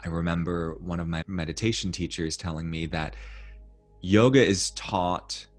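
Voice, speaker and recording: Deep-voiced man hosting a thoughtful conversation. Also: steady tone, moderate pace, faint music behind. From United States. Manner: reflective, storytelling. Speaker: podcast host. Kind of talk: conversational interview.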